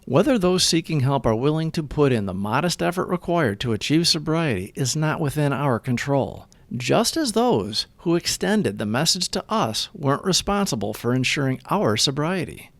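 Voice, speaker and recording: A man giving a scripted reading of devotional recovery reflections in a measured, earnest way. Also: on the high side, big pitch swings, dry recording.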